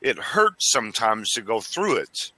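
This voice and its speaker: A man in his forties from Arizona speaks fervently into a headset mic, his thoughts tumbling out and his words stumbling. His voice swings lively in pitch and sounds bright and forward. The sound is dry and close. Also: a little slow, no echo, rough recording. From Arizona, United States. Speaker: caller. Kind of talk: casual religious video chat. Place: small dim room indoors.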